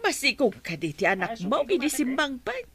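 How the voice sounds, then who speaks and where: A woman's voice: high-pitched, sing-song, moderate pace, fairly bright tone. A woman playing a worried Ilocano mother. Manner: worried, scolding. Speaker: radio drama actor.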